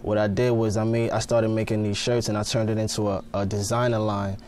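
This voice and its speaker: A man's voice: lowish voice, flat delivery, a little fast, a little mellow, a little hiss or hum. A young man from Ghana, a fashion designer, answering interview questions in a laid-back, casual way in a TV studio. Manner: laid-back, lots of fillers like "you know what I mean".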